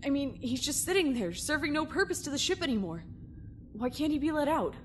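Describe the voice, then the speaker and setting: A woman's voice: sits high, big pitch swings, brisk, slight background noise. Earnest young cadet boy, voiced by a woman. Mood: questioning. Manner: earnest, emotional. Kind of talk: sci-fi audio drama acting.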